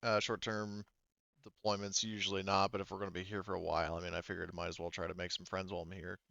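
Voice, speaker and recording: A man's voice: low voice, flat delivery, fast talker, gravelly, sharp tone, no room sound, highs cut off, heavily acted. A man voicing an easygoing female soldier in a tabletop game, speaking in an offhand, hesitant, agreeable way. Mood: casual, agreeable. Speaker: game master voicing a character. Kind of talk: improvised tabletop roleplay dialogue.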